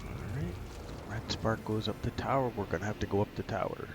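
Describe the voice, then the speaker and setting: A man's voice: lowish voice, fairly quick. A man hosting a casual video game playthrough, commentating as he plays. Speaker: gaming channel host. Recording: game audio noise behind voice. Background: video game sounds.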